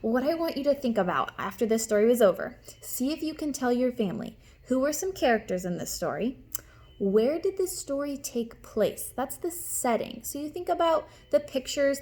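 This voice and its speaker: Woman, kindergarten teacher, lively intonation, highish voice, storybook read-aloud. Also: normal speed. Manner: expressive, explains words and asks questions to children. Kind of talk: animated storybook read-aloud for young children.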